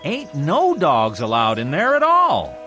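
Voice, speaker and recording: An actor playing a folksy, coaxing gatekeeper of the afterlife in a vintage TV drama, with a rural Southern American accent. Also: male voice, a little high, huge pitch swings, sharp tone, soft background music, heavily acted. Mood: insistent. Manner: folksy, coaxing, drawn-out phrasing. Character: folksy, wheedling male gatekeeper of a false heaven. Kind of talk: vintage television drama acting.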